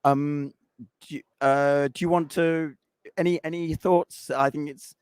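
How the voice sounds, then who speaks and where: A man who is losing his voice and sounds croaky, presenting at a conference and rambling with fillers. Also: on the high side, fairly even intonation, measured pace, a little mellow, no room sound. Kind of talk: conference presentation and panel chat.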